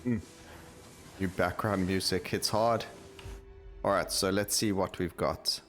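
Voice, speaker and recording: Deep-voiced man doing game commentary. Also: fairly even intonation, measured pace, a bit bright. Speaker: gaming video creator. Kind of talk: casual gameplay commentary. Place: voice-over recorded over game audio. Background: game music.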